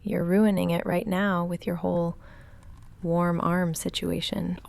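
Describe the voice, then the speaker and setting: An awkward young goth vampire girl, played by a woman in an intimate ASMR roleplay. She murmurs slowly with heavy vocal fry, often dropping to a breathy whisper. Her delivery is deadpan and halting, self-conscious but secretly affectionate. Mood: flustered, softening. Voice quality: soft, breathy whispering mixed with low murmured talk.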